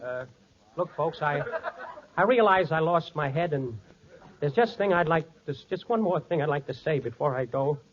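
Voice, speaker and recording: An actor playing an excitable, pompous male film director who blusters in garbled double-talk in 1940s radio comedy. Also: on the high side, normal speed. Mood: contrite, flustered. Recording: dull 1940s radio broadcast, studio audience.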